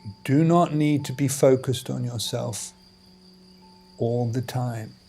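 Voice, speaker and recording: A man leading a guided meditation as a teacher, in measured, deliberate phrases with pauses between them. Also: mid-range voice, creaky, mellow, slightly rough. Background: music and outdoor insect sounds.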